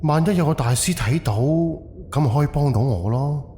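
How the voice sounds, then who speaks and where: A man's voice: a little high, animated, normal speed, warm tone, a little hiss or hum, close to an everyday voice. A man playing an exasperated, colloquial character on a ghost forum in an audio drama, with a Cantonese accent. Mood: fretful, pleading. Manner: colloquial, exclamatory.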